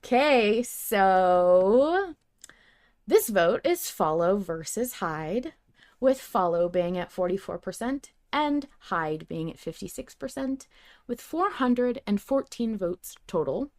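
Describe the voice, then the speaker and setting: A woman hosting a casual fan podcast, talking in an animated, opinionated, conversational way. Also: mid-range voice, takes it slowly. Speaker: podcast host.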